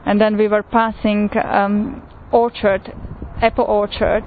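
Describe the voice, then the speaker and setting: A woman with a Slovak accent recounts a personal testimony, pausing often and filling gaps with "uh". Her voice stays level and flat in pitch. The recording is thin and phone-like, with a faint hiss underneath. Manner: unhurried, with frequent pauses and fillers. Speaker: pilgrim sharing testimony.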